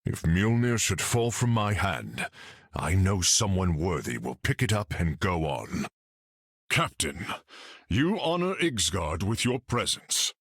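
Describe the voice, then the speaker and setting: Husky, deliberate Norse god: proud, hearty, grandly formal video game warrior. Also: male voice, low voice, big pitch swings, fairly bright tone, dry recording, studio quality, full character voice. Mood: solemn.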